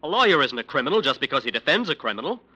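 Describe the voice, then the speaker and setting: An actor playing a smooth-talking, defensive lawyer in a 1940s radio drama. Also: male voice, a little high, big pitch swings, rapid-fire, bright, forceful tone, heavily acted. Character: defensive, self-justifying male lawyer.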